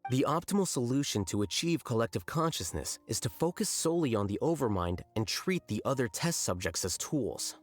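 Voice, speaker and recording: A man's voice: medium pitch, sing-song, brisk, few pauses. An actor playing an aloof young male scholar who speaks in a dry, blunt, matter-of-fact way. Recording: game audio with faint music.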